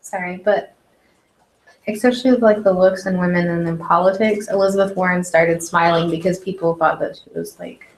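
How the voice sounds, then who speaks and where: A woman's voice: fairly low pitch, fairly even intonation, brisk. A woman student chiming into a class discussion, casual and hesitant with "like" and "I mean". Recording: echoey classroom with light noise.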